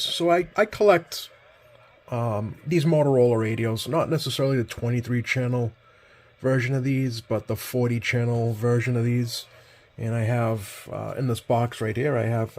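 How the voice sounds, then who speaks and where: A man's voice: medium pitch, fairly even intonation, normal speed, darkish tone. A man giving a casual, hands-on product demonstration as a host, hesitant and rambling, often correcting himself. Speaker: host, CB radio collector. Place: indoors at a workbench.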